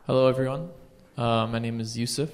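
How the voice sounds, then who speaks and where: A man's voice: mid-range voice, fairly even intonation, brisk, background noise. A young man from Chicago introducing himself on a panel, speaking hesitantly with fillers into a handheld mic. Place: indoor venue seated on a panel. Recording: long hall reverb.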